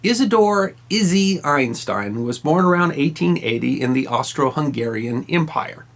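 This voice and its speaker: A man tells a history story from a script, his pitch sitting a little high. His voice rises and falls a lot as he leans on key words. He delivers dry, wry asides with emphasis. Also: animated, moderate pace, creaky. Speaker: history channel host.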